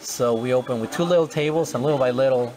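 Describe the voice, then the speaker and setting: A man's voice: mid-range voice, fast, rough recording. Mexican restaurant owner in his forties, casual and rambling, speaking outdoors. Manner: rambling with many restarts and fillers. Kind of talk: casual interview. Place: outdoors at a farm and on a restaurant patio.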